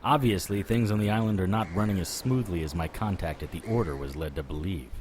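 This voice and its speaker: Hardboiled male investigator, actor in role, lowish register, dry wit, deadpan first-person storytelling for audio drama. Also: a little fast, a little hiss or hum, natural voice. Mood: firm, guarded. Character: tough wry male investigator, narrating his own brawls and adventures. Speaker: lead actor and narrator.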